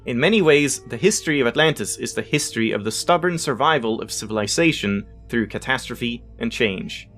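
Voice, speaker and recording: A man's voice: mid-range voice, expressive, brisk, hardly any pauses, fairly bright tone. A man hosting a let's play, reading in-game text aloud with casual asides and tripping over unfamiliar words now and then. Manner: stumbles over unfamiliar words, self-correcting. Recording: music behind the voice. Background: game music.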